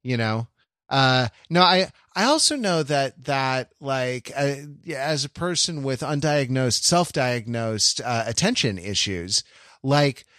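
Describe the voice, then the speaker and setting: A man hosting a casual podcast conversation, talking in a halting, rambling way as he thinks aloud. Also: medium pitch, expressive, fairly bright tone, no echo, clean studio sound. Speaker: podcast host.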